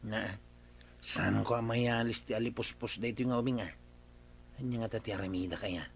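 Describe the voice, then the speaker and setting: A man's voice: lowish voice, steady tone, moderate pace, creaky, breathy edge. A man with an Ilocano accent playing a grumbling, bossy village man in a radio comedy drama. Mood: scolding. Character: grumbling, grudge-bearing village man, bossy older brother.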